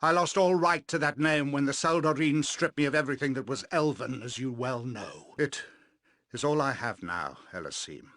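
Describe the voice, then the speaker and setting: Male actor as vengeful elven sorcerer, video game villain, medium pitch, menacing, deliberate formal diction, theatrical, grandiose, soulless, dry studio sound. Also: a bit bright, dry recording, natural voice. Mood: hollow, bitter.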